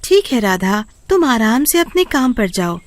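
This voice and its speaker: Woman acting a high, concerned younger sister. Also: very animated, a little slow, no room sound.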